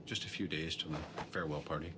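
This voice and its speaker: An actor playing a breezy, self-assured inventor superhero in a video game cutscene. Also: male voice, very deep voice, steady tone, quick pace, noisy background.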